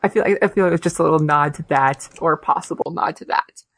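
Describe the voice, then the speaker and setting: Woman podcast cohost, lowish voice, lots of ums and laughs, dry close sound. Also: quick pace, a bit bright, breathy edge, no room sound. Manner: chatty, laughs often, many fillers and restarts. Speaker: podcast co-host. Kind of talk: casual chat.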